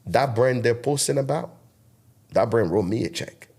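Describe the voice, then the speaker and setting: Animated man with a French West African accent. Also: medium pitch, moderate pace, gravelly. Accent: French-speaking West African. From Togo. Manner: emphatic, preachy, animated. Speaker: guest content creator. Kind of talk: podcast interview.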